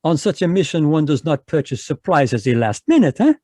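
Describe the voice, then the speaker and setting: A man voicing a fussy, precise Belgian detective, speaking in a measured, lecturing way in a live online radio drama. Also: a little high, very animated, warm tone, dry recording, studio quality. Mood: explaining.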